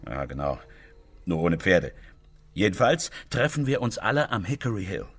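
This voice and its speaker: Low-voiced, chatty hunting club man. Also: very animated, moderate pace, slightly rough, full character voice. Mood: jovial, chatty. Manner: folksy, talkative. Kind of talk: radio play acting.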